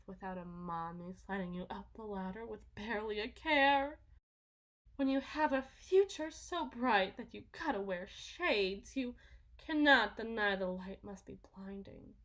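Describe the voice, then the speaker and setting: A woman playing a delusional, condescending, possessive wealthy mother in a theatrical ASMR roleplay, phrasing everything in a drawn-out, deliberate way. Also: medium pitch, a bit bright, lightly acted. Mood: condescending, chiding. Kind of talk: ASMR roleplay, dramatic monologue.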